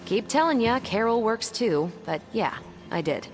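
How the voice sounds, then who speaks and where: A woman's voice: a little high, expressive, unhurried, faint music behind. A woman playing a confident superhero captain in a video game, with a breezy, wry, colloquial manner. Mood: wry, brisk. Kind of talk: video game cutscene acting.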